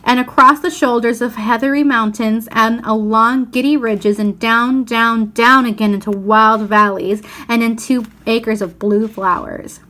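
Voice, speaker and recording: A woman reading a storybook aloud as narrator, with expressive, deliberate phrasing. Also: on the high side.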